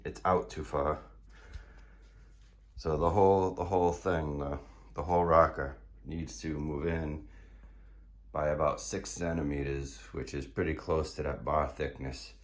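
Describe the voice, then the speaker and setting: A hoarse man casually walking through his build in a garage workshop, explaining with many fillers and restarts. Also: a bass voice, fairly even intonation, a little slow. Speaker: car builder showing his project.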